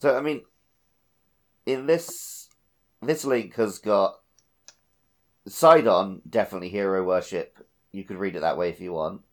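A man co-hosting a podcast, talking casually about a video game. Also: sits low. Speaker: podcast co-host.

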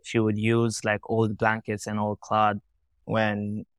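A man's voice: on the low side, monotone, slow, clear, resonant tone, no echo. A young man from Tanzania, a founder talking casually as a podcast guest, often saying "like" and "you know". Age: young adult. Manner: casual, lots of likes and you-knows.

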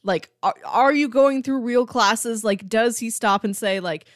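A woman's voice: fairly high pitch, unhurried, no room sound, clean studio sound. A woman co-hosting a casual book discussion, talking in a chatty, emphatic, conversational way. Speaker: podcast co-host discussing comics.

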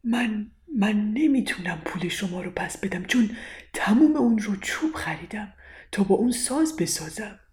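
A woman's voice: medium pitch, animated, a little mellow, voice pushed up, lightly acted. A woman voicing a poor, sad male craftsman who speaks hesitantly with a sigh. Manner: sighing, hesitant.

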